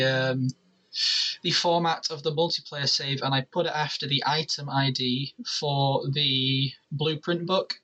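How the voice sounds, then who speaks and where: A man's voice: medium pitch, pushed and bright, rough recording. A man presenting a casual gaming tutorial, explaining things step by step as he works on screen.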